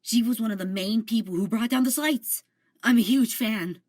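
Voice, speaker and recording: A woman's voice: fairly high pitch, moderate pace, a little mellow, lightly acted. A woman voicing an earnest, starstruck young male ship security officer in an amateur audio drama. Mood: eager.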